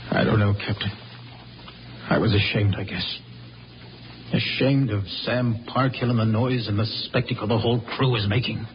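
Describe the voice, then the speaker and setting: An American actor playing a grave, idealistic and bitterly ashamed spaceman in 1950s radio drama, speaking haltingly and with passion. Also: on the low side, fairly even intonation, a little hiss or hum, natural voice. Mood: bitter, impassioned. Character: idealistic, brooding spaceman, bitterly ashamed of his own kind. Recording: muffled old radio recording, faint music.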